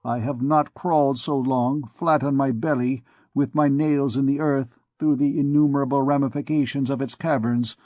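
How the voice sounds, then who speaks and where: A gloomy, scholarly archdeacon given voice by a male narrator answers coldly and deliberately. The reading sits close and dry, with no echo. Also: medium pitch, steady tone, dark tone, dull audio, natural voice. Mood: fervent. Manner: cold, measured, grave. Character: gloomy, aloof middle-aged archdeacon scholar. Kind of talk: audiobook character reading.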